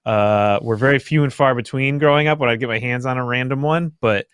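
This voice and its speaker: A man's voice: mid-range voice, fairly quick, a bit bright, smooth, dry recording. Casual young man, dry close sound. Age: young adult. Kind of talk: casual group chat about superhero shows.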